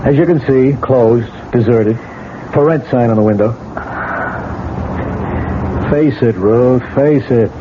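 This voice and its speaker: An actor playing a patient, skeptical police lieutenant who coaxes a witness calmly in a 1970s radio drama. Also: male voice, medium pitch, takes it slowly, pauses often, lightly acted. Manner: calm, coaxing, matter-of-fact. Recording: tinny old radio broadcast with hiss.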